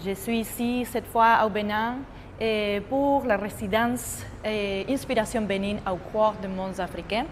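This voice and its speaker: A woman from Argentina, her first language Spanish, speaking slowly and stopping often to find her words as she describes her dance work. Her voice sits at an ordinary pitch. The recording has a long hall reverb and a faint ambient hum underneath. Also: steady tone. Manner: halting, searching for words with many fillers. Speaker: choreographer. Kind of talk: interview testimony.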